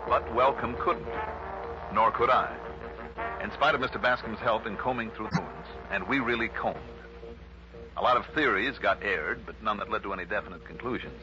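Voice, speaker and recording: An actor playing a shrewd, skeptical male investigator in a 1960s radio drama, brisk, probing and wry. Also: fairly low pitch, over music, lightly acted. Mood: brisk narration. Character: shrewd, skeptical male insurance investigator narrating his case. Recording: dull, humming early-1960s radio recording.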